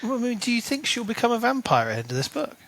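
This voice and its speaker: A man's voice: very high-pitched, vocal fry, slight background noise. A man co-hosting a podcast, talking through a book in casual chat and briefly acting out characters' lines. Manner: animated, acts out characters' lines in quick asides. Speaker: podcast co-host.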